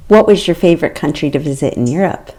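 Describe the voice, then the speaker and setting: An American woman hosting a casual travel podcast, enthusiastic and quick to laugh and agree. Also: sits low, expressive, dark tone. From United States. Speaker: podcast host.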